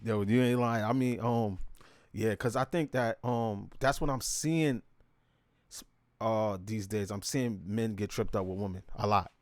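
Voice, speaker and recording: A man's voice: fairly low pitch, unhurried, dry recording. A man hosting a casual interview, slangy and enthusiastic, eagerly agreeing with his guest. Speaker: show host.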